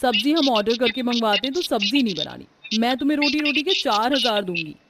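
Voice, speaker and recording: A woman playing a well-off lady employer in a story, brisk and matter-of-fact as she gives instructions. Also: on the high side, animated, background noise, voice pushed up. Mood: businesslike.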